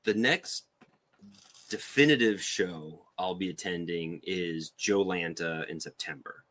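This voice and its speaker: A man speaking casually as a podcast guest, explaining his craft in a somewhat halting way. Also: sits low, a bit bright. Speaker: podcast guest, figure sculptor. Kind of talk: casual interview chat.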